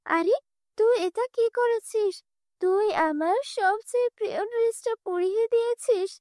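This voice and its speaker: A woman's voice: very high-pitched, normal speed, dark tone, dry recording, studio quality, voice pushed up. A woman voicing a spoiled, spiteful young stepsister in a cartoon fairy tale, whiny and demanding. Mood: angry.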